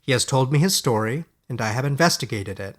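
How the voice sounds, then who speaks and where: A man voicing a scholarly male wizard in a fairy-tale audiobook, formal, sincere and quietly resolute. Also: mid-range voice, animated, brisk, lightly acted. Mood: quietly firm.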